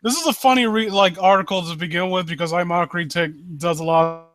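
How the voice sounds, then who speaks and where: A man's voice: high-pitched, steady tone, fast talker, a bit bright, no echo, clean studio sound. A man hosting a live stream in casual chat, blunt and given to swearing. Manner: blunt, profane. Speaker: host of a live critique stream.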